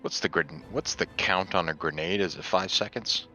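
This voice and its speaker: A man playing a tabletop roleplaying game, talking casually and deliberately as he thinks aloud. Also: deep voice, bright, forceful tone, faint music behind, poor audio.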